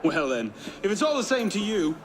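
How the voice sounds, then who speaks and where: A man's voice: high voice, big pitch swings, brisk, slight background noise. A man playing a brash, flippant young Frenchman in a video game cutscene, teasing as he talks. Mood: cocky, teasing.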